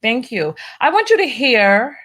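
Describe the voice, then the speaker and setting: A woman's voice: fairly high pitch, very animated, unhurried, a bit bright. A woman from New York with a lightly masked New York accent, hosting a casual livestream, reading viewer donations and making wry asides as she does her makeup. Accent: New York, partly masked. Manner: chatty, wry asides, doing her makeup while talking. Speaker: livestream host.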